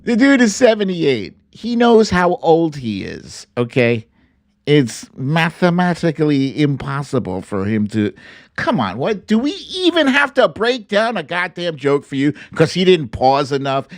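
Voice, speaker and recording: A man hosting a live political commentary stream, speaking casually and bluntly with salty slang and theatrical, opinionated delivery. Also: on the high side. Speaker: host of a political commentary livestream.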